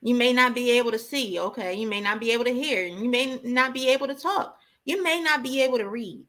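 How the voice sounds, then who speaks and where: A woman's voice: on the high side, a little fast, fairly bright tone. A woman giving an impassioned, informal sermon as a preacher, exhorting emphatically and repeating key phrases.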